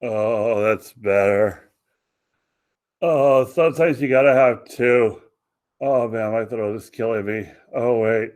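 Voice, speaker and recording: An actor playing a hapless soldier in a radio comedy, hesitant, deadpan and complaining. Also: male voice, medium pitch, slow pace, natural voice. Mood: whiny, distracted. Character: deadpan, hapless soldier preoccupied with minor discomforts. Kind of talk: comic radio play acting.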